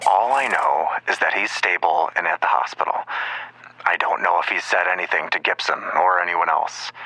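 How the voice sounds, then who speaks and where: A man playing a worried, protective insider in an audio drama, talking in an earnest, cautious, hushed way. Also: low-pitched, heavily acted, poor audio. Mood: worried, frustrated.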